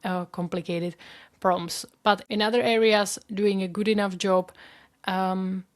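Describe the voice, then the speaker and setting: A woman with a Czech accent hosts her own podcast, talking earnestly and directly to her listeners. Her voice sits at a middle pitch. She is a young adult and speaks English as a second language. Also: fairly even intonation, unhurried. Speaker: podcast host and career coach. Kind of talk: solo podcast talk.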